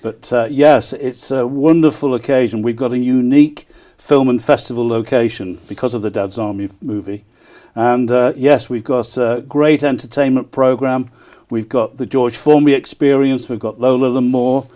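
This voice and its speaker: A man answers interview questions as a keen event promoter. His voice is dark and mellow at an average male pitch. He talks up the details with enthusiasm, often breaking off for small hesitations. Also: steady tone, moderate pace. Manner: enthusiastic, frequent hesitant ers. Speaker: town crier and festival promoter, interview guest.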